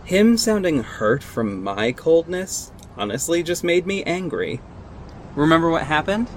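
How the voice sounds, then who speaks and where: A man acting as a guarded, sardonic costumed vigilante in an audio drama, measured and terse, with an exasperated edge. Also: on the high side, sing-song, slight background noise. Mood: cold, irritated. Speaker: narrator and lead actor. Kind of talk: first-person audio drama narration and dialogue.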